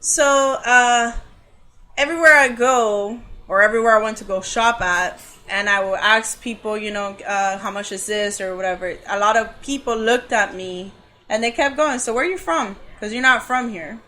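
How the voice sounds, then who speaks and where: A woman's voice: a little high, moderate pace, bright, forceful tone. A woman from Cuba, a podcast guest, earnestly telling a personal story in a casual way with frequent uhs. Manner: earnest, talks things through with many uhs.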